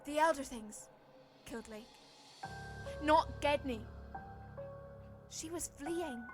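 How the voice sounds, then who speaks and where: An actress playing a grave, awed woman professor and explorer in a formal, deliberate 1930s-style radio horror drama. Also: sits high, over music. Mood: alarmed, grim. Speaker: actor in an audio drama.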